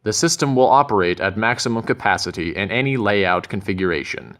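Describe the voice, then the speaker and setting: A man reading scripted narration for a corporate training film in a measured, methodical way. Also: fairly low pitch, expressive, fairly bright tone.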